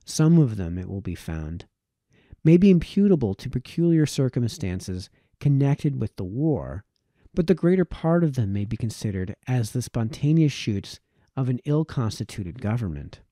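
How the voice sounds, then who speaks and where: Dark-voiced man reading aloud, very mellow. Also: mid-range voice, big pitch swings, moderate pace, no room sound, studio quality. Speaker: reader, narrator. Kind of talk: reading a historical political text aloud, scripted narration.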